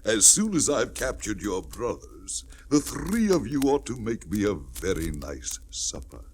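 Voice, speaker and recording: A menacing storybook wolf, gloating and taunting. The delivery is slow and theatrical, with huge swoops in pitch. It sounds like a children's record from the 1960s, with faint noise. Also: male voice, wildly swooping pitch, darkish tone, full character voice. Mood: sly, gloating. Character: gloating, menacing big bad wolf villain.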